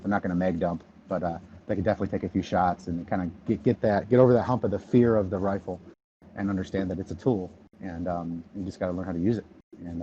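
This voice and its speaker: A man in his thirties from the Detroit area, chatting casually on a podcast and rambling with many ums and restarts. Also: dark tone. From Michigan, near Detroit. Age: 30s. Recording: dull low-fi audio, faint noise.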